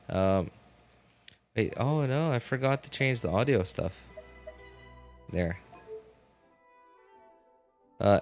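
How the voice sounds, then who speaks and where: A man live streaming a video game, chatting casually and thinking aloud in a halting way. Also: sits low, creaky. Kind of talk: casual live stream chat while gaming.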